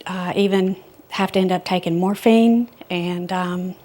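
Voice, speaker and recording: A woman who is a seminar volunteer, speaking softly and hesitantly with many fillers. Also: fairly low pitch, fairly even intonation, measured pace. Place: seminar room.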